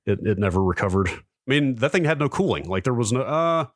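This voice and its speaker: A man's voice: medium pitch, expressive, a little fast, dry recording, clean studio sound. A man co-hosting a podcast, chatting casually and telling anecdotes in a geeky, emphatic way. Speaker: podcast co-host. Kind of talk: casual chat, recounting anecdotes.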